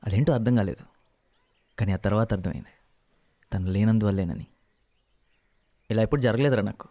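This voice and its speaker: An actor playing a lovesick young engineering student, candid and teasing, in naturalistic film dialogue with some English mixed in. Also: male voice, fairly low pitch, brisk, dark tone, no room sound, lightly acted. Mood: wistful. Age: young adult. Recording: film soundtrack with music underneath.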